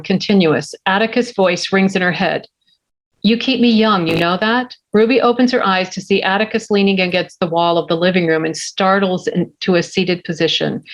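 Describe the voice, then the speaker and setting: A woman reading the stage directions of a script at a table read, in a steady, plain way. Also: mid-range voice, normal speed, low-quality recording.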